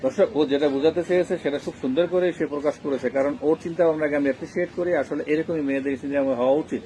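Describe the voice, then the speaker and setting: A man's voice: fairly high pitch, steady tone, very fast, mellow, a little hiss or hum, rough recording. A man with a Bangladeshi accent hosting a conversational interview, asking questions and addressing the viewers. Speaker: interviewer and host.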